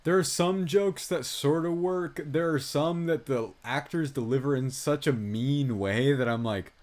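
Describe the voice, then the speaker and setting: A man chats casually as a podcast film reviewer. His voice sits at a medium pitch. He talks in loose slang and tells things in an animated way, slipping into quoted reactions as asides. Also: unhurried.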